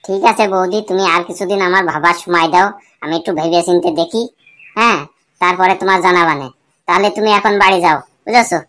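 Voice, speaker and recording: A man's voice: sits high, fairly even intonation, quick pace, pushed and bright. Man voicing a bawdy, grumbling young village bachelor. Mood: hesitant, puzzled. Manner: colloquial, complaining, pleading. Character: Futo, bawdy young bachelor, pleading and evasive. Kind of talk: comic cartoon dialogue and muttered asides.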